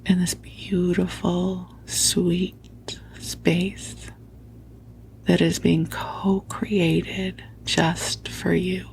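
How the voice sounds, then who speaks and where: A woman whispering softly and tenderly into a close microphone as she hosts a spiritual sleep podcast, soothing and affectionate. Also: on the low side, level tone, drawn-out words, rough recording. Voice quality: hushed, breathy whispering. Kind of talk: whispered guided sleep talk, spiritual meditation. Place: at home under a blanket.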